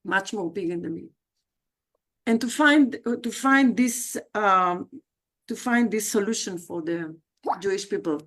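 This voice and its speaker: A woman's voice: mid-range voice, measured pace, a bit bright. A woman, introducing herself on a video call in a chatty, personal way, with frequent hesitations and self-corrections. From South America. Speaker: guest speaker, leadership coach. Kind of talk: livestreamed self-introduction talk.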